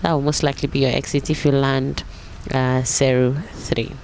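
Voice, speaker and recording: A woman's voice: extremely low pitch, little pitch movement, moderate pace. A woman pilot and vlogger casually explaining something step by step in a tutorial.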